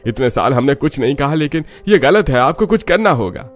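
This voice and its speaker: A man's voice: medium pitch, very animated, brisk, pitched above the performer's own voice, close to an everyday voice. A man voicing a worried village man in a cartoon story, arguing earnestly and insistently. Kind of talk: Hindi cartoon story dialogue.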